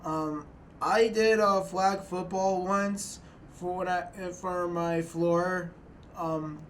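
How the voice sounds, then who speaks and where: A young man speaks to camera from a small dorm room, his voice sitting a little high for a man. He halts often, restarts phrases and pads with fillers. A faint background hiss is present. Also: fairly even intonation, fairly bright tone. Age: young adult. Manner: halting, many restarts and fillers. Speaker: student filmmaker. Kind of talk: documentary narration to camera.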